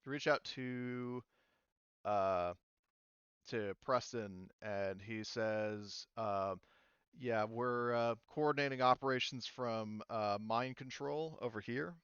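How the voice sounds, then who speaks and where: A man working as game master, narrating a tabletop roleplaying game casually and haltingly, often correcting himself and swearing. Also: medium pitch, fairly bright tone, clear, resonant tone, dry recording, lo-fi sound.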